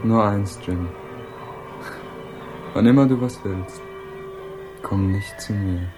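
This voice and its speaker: Slow, drawling, insolent hippie. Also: male voice, fairly low pitch, over music. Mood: sullen, taunting. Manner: drawling, taunting, slangy. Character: insolent small-time male hippie, mocking and evasive. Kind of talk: 1960s German radio crime drama acting.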